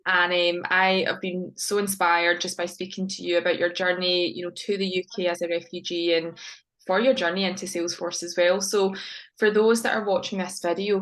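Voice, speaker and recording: A woman hosting an interview for a training program, asking questions and encouraging her guest. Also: fairly low pitch.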